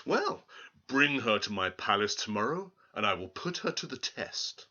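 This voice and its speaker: A man's voice: lowish voice, big pitch swings, pushed and bright, highs cut off, heavily acted. Man playing a greedy, commanding storybook king. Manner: imperious, deliberate.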